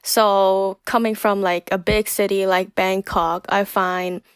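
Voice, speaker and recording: Slow, gravelly young woman. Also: mid-range voice, flat delivery, dry recording. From Thailand. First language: Thai. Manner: giggly, frequent laughs and "like" fillers. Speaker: podcast guest. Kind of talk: casual interview chat.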